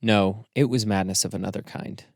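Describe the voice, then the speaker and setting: A man narrates a literary audiobook in a measured, formal way. His voice is fairly low with plenty of gravelly creak, and the sound is dry and close. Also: fairly even intonation, darkish tone, no room sound.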